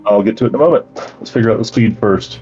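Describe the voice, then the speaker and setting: A man acting as game master, reading out campaign lore and then explaining the travel rules in a relaxed way with many fillers. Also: lowish voice, very fast, dull audio, soft background music.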